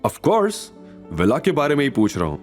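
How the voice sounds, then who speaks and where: A man's voice: medium pitch, wildly swooping pitch, normal speed, faint music behind. A man playing a caring, protective young man, earnest and coaxing, in an audio drama. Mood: teasing.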